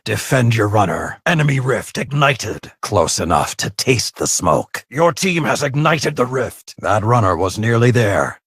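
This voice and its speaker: A man voicing a stern, martial match announcer in a video game, commanding and terse with a husky voice. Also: low voice, a bit bright, no echo, studio quality, exaggerated voice. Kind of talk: scripted match commentary.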